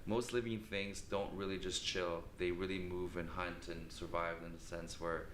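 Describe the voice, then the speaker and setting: A man's voice: deep voice, little pitch movement, normal speed, fairly bright tone, echoey room, a little hiss or hum. A man in his thirties from Sri Lanka, a podcast guest talking in a reflective, rambling way. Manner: reflective, rambling, spiritual talk. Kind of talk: casual interview chat.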